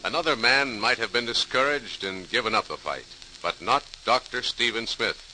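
A man's voice: mid-range voice, pushed and bright, faint music behind. A man with an American accent reading scripted narration as a 1940s radio announcer, formal and dramatic. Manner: formal, dramatic, measured phrasing. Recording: hissy, crackly 1940s radio recording.

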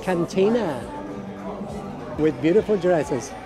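Enthusiastic middle-aged man, higher-pitched voice. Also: lively intonation, takes it slowly. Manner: enthusiastic, exclaiming, often repeating phrases. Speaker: vlogger and walking tour guide. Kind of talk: casual walking tour commentary. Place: busy outdoor street market. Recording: outdoor crowd noise, background music. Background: music and crowd chatter.

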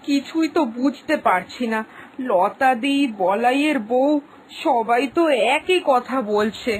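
A woman's voice: high-pitched, poor audio. A woman playing a devoted, anxious village mother in a cartoon, earnest and plaintive. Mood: worried, determined. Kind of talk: cartoon story acting.

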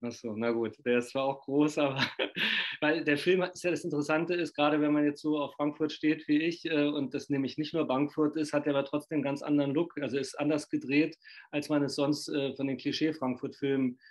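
A man's voice: fairly high pitch, steady tone, brisk. A middle-aged man from Germany interviewing an actress over a video call, talking in a rambling, chatty way full of fillers. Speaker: interviewer and magazine editor. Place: living room at home. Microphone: video call webcam.

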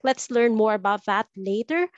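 A woman's voice: mid-range voice, lively intonation, moderate pace, dry recording. A woman from the Philippines hosting an online language webinar over a video call, upbeat and welcoming. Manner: upbeat, welcoming, scripted-sounding. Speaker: host. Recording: muffled video call audio.

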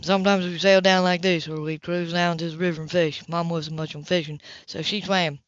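A woman's voice: fairly low pitch, steady tone, a little fast, no room sound. A woman narrating a novel as an amateur reader, occasionally stumbling and rereading phrases. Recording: dull lo-fi home recording.

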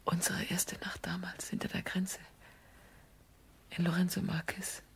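A woman acting a tender, anxious wife and mother in a German radio play, with a husky, breathy voice. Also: on the low side, level tone, unhurried, in a whisper. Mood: hushed, confiding.

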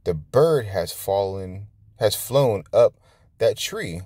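A jolly father pig from a picture book, acted by a man, low in pitch and very unhurried. He is hearty and easygoing, stretching out his words. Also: animated, mellow, slightly rough, dry recording, heavily acted. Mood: pleased.

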